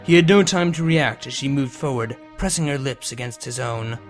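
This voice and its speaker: A man's voice: medium pitch, moderate pace. A man who reads fan fiction aloud and hosts his own channel. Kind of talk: dramatic scripted story narration, then casual outro. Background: music.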